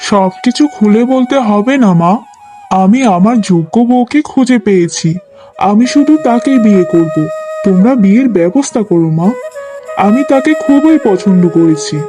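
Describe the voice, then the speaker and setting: A man's voice: high voice, expressive, normal speed, music in the background. A man voicing an earnest, resolute young man in love in a cartoon story. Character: resolute, kindly lovestruck young man of good family.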